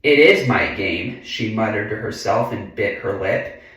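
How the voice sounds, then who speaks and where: Guy reading a kids book out loud real slow, big echoey room, kinda far from the mic. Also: on the low side, expressive. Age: adult. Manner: slow, deliberate delivery with frequent murmured mm-hmms. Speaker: reader of a children's picture book. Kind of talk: read-aloud storytelling with casual asides.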